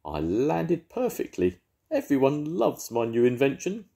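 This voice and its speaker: An older man voicing a showy, smooth-talking male inventor dog in a storybook reading. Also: mid-range voice, huge pitch swings, unhurried, mellow, pitched above the performer's own voice, heavily acted. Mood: dazed boasting. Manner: suave, grand, pompous. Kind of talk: storybook read-aloud.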